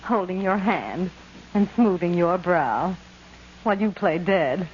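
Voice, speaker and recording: Lively, coaxing lady ghost. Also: lowish voice, takes it slowly. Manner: coaxing, self-assured. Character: breezy, coaxing female ghost posing as nurse. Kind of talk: 1940s radio comedy acting. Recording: dull, noisy vintage radio recording.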